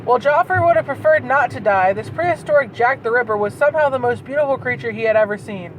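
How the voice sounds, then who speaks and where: A woman's voice: a little high, animated, quick pace, low-quality recording. A woman reading fan fiction aloud in a dramatic way, breaking into incredulous, sweary casual asides. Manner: incredulous, sweary commentary between read passages. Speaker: host reading and mocking bad fan fiction. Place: inside a moving car. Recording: car interior, clear road noise. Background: clear vehicle noise.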